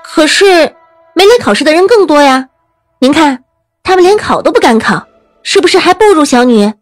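A cheeky girl student in a period audio drama. Her high voice swings expressively, politely mock-earnest, in a dry, close recording. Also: expressive, moderate pace, no echo, soft background music. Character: cheeky, quick-witted young girl student.

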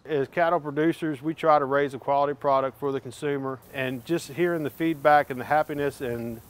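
A man's voice: on the high side, fairly even intonation, fairly quick. A man in his thirties, a cattle producer, speaking earnestly with restarts in an outdoor interview. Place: outdoors in a pasture. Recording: faint outdoor background noise.

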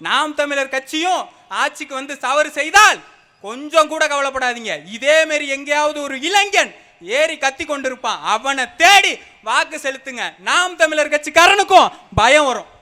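A man's voice: very high voice, moderate pace, bright, forceful tone. A young man giving a fiery, emphatic political speech at a public meeting. He mixes slang with formal phrasing. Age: young adult. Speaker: party speaker at a public meeting.

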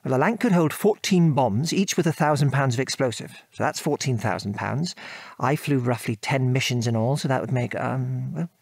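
A man's voice: medium pitch, normal speed, vocal fry, breathy edge, dry recording. An actor playing a modest, remorseful English father and former RAF bomb aimer in an audio drama, with a British accent and hesitant, genteel speech. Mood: hesitant, thoughtful. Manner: hesitant, reflective, genteel old-fashioned turns of phrase. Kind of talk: audio drama acting, reminiscing monologue.

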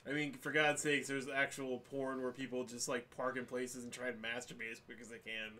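A man's voice: medium pitch, level tone, a little fast, pushed and bright. A man co-hosting a podcast, blunt and crude, swearing freely in casual banter. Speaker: podcast co-host.

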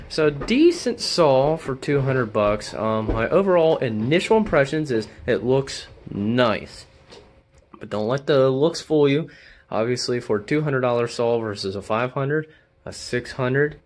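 A man's voice: mid-range voice, noisy background. A man casually walking viewers through unboxing a tool indoors, talking in a chatty, informal way with many fillers and restarts, filmed on a handheld phone. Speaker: video host doing a tool unboxing. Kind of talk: casual hands-on demonstration. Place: indoor room with wooden workbench. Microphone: handheld phone camera, first-person view.

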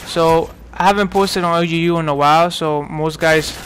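A man's voice: on the high side, fairly even intonation, normal speed, a bit bright. A man in his twenties from New York giving casual, rambling commentary over gameplay, full of fillers and restarts. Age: 20s. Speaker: gaming commentator.

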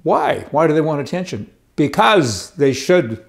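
A man's voice: on the high side, sing-song, measured pace, gravelly. An older man hosting a political commentary show, speaking to camera in a chatty, sarcastic way while reading from papers in a studio. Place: studio with wooden backdrop.

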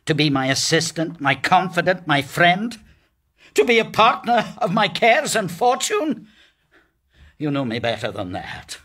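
A man's voice: high-pitched, very animated, unhurried, bright, forceful tone, full character voice. An actor playing a stern, sarcastic middle-aged father and businessman in a formal period radio drama. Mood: bitter, angry.

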